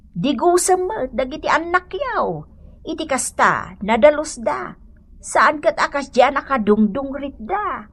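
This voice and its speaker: Animated, high-voiced motherly Ilocano adviser. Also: heavily acted. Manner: admonishing, emphatic, motherly. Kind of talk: Ilocano radio drama acting.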